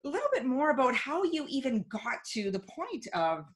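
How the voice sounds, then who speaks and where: A woman's voice: sits high, very animated, normal speed. Upbeat woman podcast host. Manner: upbeat, reading an introduction. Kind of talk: interview hosting.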